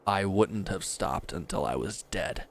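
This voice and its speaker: A man's voice: low voice, lively intonation, measured pace, heavily acted. A man playing a bitter, proud and resentful injured villain in a dramatic audio roleplay, speaking with sardonic, confrontational edge. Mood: angry, accusing.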